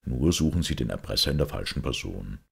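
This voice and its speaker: Guy doing a sombre priest detective, super low and really husky, measured audiobook talk. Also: animated, full character voice. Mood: explaining. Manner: measured, reflective explaining. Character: grave, thoughtful priest detective, calm and sombre. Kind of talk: audiobook character acting.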